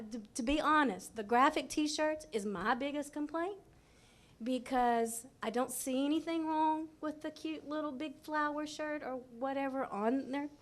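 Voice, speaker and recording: A woman school principal, speaking candidly at a board meeting. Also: sits high. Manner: candid, conversational, with fillers.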